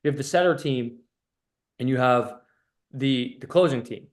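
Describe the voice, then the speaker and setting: A man's voice: medium pitch, normal speed. A man coaching on a casual call, blunt and profane, with an emphatic, stop-start delivery that often repeats itself. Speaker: business coach.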